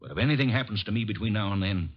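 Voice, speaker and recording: A man's voice: on the low side, animated, racing. An American actor playing a big, stubborn man bent on revenge in a 1940s radio drama. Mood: grim defiance. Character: big bearded vengeful man, grim and stubborn. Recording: muffled vintage radio recording.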